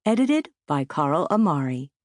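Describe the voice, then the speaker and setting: Female audiobook announcer, lowish register, scripted credit read in a dry, close studio sound. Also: sing-song, unhurried, darkish tone, dry recording. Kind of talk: scripted title and credits reading.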